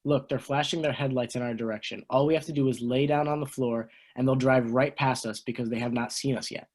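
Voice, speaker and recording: A man playing an excitable high school boy in an amateur script table read. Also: a little fast, lightly acted. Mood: eager.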